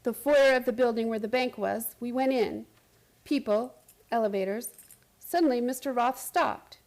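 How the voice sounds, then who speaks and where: Actress as anxious caring woman, high voice, earnest and hesitant, heightened emotion, radio drama. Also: normal speed, a little mellow, lightly acted, low-quality recording. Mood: troubled narrating. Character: anxious, caring young woman recounting a strange event. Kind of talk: radio play acting and narration.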